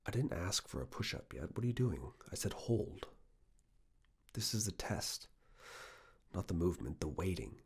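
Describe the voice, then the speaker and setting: A man in an ASMR roleplay playing a stern, controlling yet reassuring fitness assessor, giving hushed, clipped commands in a breathy, gravelly voice that often slips into whispers. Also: sits low, sing-song, normal speed, long pauses, full character voice. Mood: clipped, authoritative. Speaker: ASMR performer. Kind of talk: ASMR personal attention roleplay.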